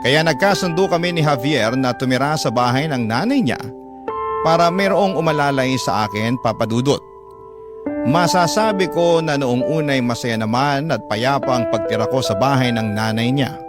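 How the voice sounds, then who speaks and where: A man's voice: mid-range voice, normal speed. A man reading a scripted first-person story as the narrator of a radio drama. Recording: loud music behind.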